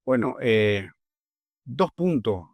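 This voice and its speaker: A middle-aged man with a Bolivian Spanish accent, a guest in an interview, who answers in a measured, earnest way with pauses and fillers. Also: medium pitch, lively intonation, a little mellow, no room sound. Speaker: agricultural leader, guest.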